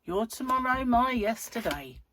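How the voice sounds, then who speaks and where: A woman hosting a crochet channel, chatting in a rambling, self-mocking way at home while her voice is croaky from a cold. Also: a little high, expressive, measured pace, fairly bright tone, a little hiss or hum. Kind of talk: casual chatty vlog. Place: at home, seated in a chair.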